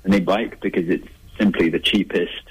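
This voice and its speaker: A man's voice: low voice, steady tone, normal speed, slightly rough, rough recording. A man, an author, answering interview questions in a thoughtful, conversational way with frequent restarts. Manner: thoughtful, restarts, laughs lightly. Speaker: author guest.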